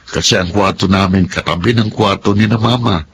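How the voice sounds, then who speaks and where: An actor playing a frustrated young husband of thirty who complains in an exasperated way. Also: male voice, deep voice, brisk, creaky, fairly bright tone, a little hiss or hum. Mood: rueful. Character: young husband Nathan, 30, frustrated, wants independence. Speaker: husband in radio drama. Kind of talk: Filipino radio drama acting.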